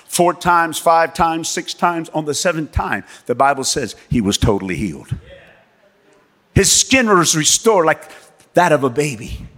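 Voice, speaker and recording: A man preaching a sermon as pastor and missionary, telling stories with animated delivery and acting out the people in them. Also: a little high, a little slow. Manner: animated storytelling preacher, acts out voices in quotes. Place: church. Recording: long reverb of a church hall.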